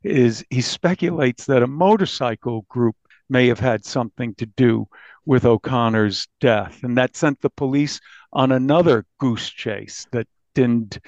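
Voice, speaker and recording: A man speaking as a journalist guest, explaining things conversationally in a halting, deliberate way. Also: medium pitch, a little mellow, dry recording, dull audio. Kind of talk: conversational interview commentary.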